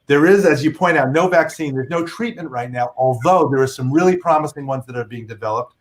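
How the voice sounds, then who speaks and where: A man's voice: medium pitch, brisk. A middle-aged man, a physician guest, explaining earnestly and patiently with examples on a video call.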